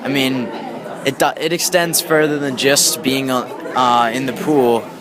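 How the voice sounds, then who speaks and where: A young man with a mid-pitched voice answers interview questions as a student athlete. He is earnest and slightly halting. A loud crowd roars in the big indoor space around him. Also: steady tone. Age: teenager or young adult. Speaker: high school swimmer.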